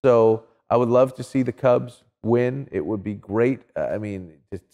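A man's voice: mid-range voice, moderate pace, dark tone, big-room sound, muffled recording. A middle-aged man giving emphatic, opinionated sports talk in a casual interview. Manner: emphatic, checks in with "right?". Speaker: sports commentator guest. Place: small indoor studio set with couches.

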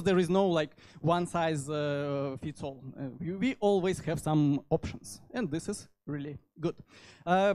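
A man in his thirties with a Russian accent giving an informal technical talk into a handheld microphone, halting often as he goes. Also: sits high, darkish tone. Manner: halting delivery with frequent hesitations. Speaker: conference speaker, software developer. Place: indoor meetup venue.

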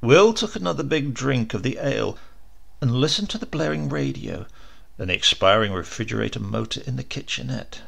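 Guy reading a story audiobook, real gravelly, lots of expression. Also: medium pitch, lively intonation, brisk, a bit bright. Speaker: audiobook narrator. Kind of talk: scripted dramatic story narration.

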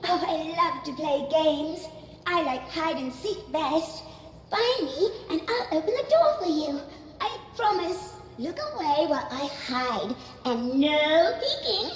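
A woman voicing an eerie, playful childlike girl who loves games, in a video game cutscene, speaking in a slow, teasing sing-song. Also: high voice, expressive. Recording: echoey cavern with long reverb and ambient hum.

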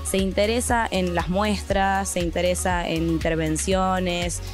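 A woman's voice: lowish voice, fairly even intonation, unhurried. A woman in her twenties with an Argentine accent, a student dancer answering earnestly in an interview. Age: 20s. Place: theatre stage with curtains. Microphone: handheld reporter microphone. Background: music.

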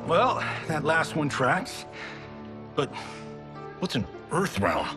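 Boastful action star, slow drawl, lively swooping voice, game cutscene. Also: male voice, fairly high pitch, over music. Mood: puzzled. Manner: wisecracking, boastful. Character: cocky male movie star, brash and vain. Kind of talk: video game cutscene acting.

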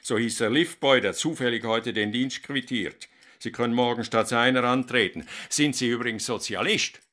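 An actor playing a haughty hotel general director in a radio play, curt, condescending and commanding. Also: male voice, medium pitch, normal speed, bright, forceful tone, lightly acted. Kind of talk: German radio play acting.

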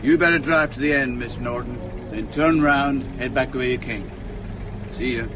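A man's voice: mid-range voice, normal speed, over music, close to an everyday voice. An actor playing a laconic, folksy small-town man with terse replies in a radio drama.

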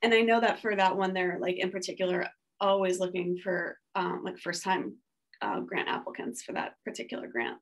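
A woman's voice: fairly low pitch, moderate pace. A woman in her forties talking casually on a video call panel from a home studio, rambling with lots of filler words and restarts. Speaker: panelist artist. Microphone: video call webcam.

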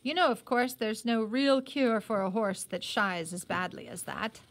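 A woman's voice: a little high, creaky. Woman reading as an arrogant, hearty young British officer. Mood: brisk, hearty. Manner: brisk, commanding, barked questions and orders. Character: arrogant, hearty young British army lieutenant, good-humoured under bluster.

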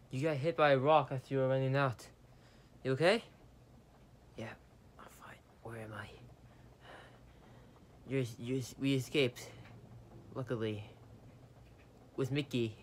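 A man's voice: medium pitch, fairly even intonation, a little hiss or hum. A man voicing plush-toy characters in plain, everyday conversation. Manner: slow, plain conversational delivery. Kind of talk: amateur plush-toy drama dialogue.

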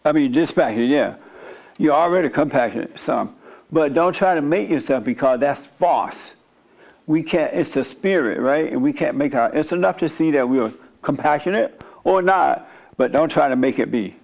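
Blunt preaching man, higher-pitched. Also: expressive, creaky, darkish tone. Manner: blunt, preachy, quick asides and mock-prayer voices. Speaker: pastor. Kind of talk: sermon-style Q&A with audience. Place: dark studio set, seated by small table.

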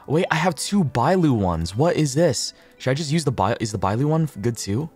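A man livestreaming a game, chatting casually with viewers, swearing freely, repeating himself and thinking out loud. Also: medium pitch, very animated, moderate pace, slightly rough, soft background music.

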